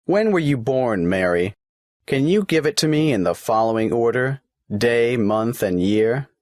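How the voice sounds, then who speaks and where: A man's voice: mid-range voice, lively intonation, unhurried, darkish tone, no room sound, clean studio sound, natural voice. A man playing a tax office clerk in a scripted exam dialogue, patiently asking form questions in a matter-of-fact way. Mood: businesslike. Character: polite adult male government clerk taking an application.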